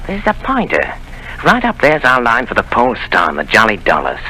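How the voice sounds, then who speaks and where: A crafty old pirate chief in a vintage radio play, slipping between a wheedling purr and open threat. His voice is very bright and cutting, and his pitch swoops up and down constantly. A faint hiss sits under the old broadcast. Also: wildly swooping pitch, fairly quick, low-quality recording. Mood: scheming. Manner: rough sailor's slang, sly and coaxing. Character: cunning old male pirate leader, menacing and wheedling. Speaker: radio drama actor. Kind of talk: 1930s radio drama acting.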